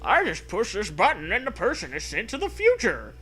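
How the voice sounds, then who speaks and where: A man voicing an eccentric old male time scientist who makes drawn-out, grandiose proclamations in a comic story reading. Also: high voice, huge pitch swings, fairly bright tone, faint music behind, pitched above the performer's own voice, full character voice. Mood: boastful.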